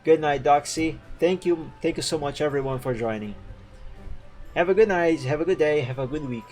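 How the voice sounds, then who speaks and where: A man's voice: a little high, moderate pace, a little mellow, soft background music. Chatty man streaming from home. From Brazil. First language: Portuguese. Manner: rambling and chatty, frequent fillers and self-corrections. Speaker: livestreamer drawing live art. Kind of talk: casual stream chat.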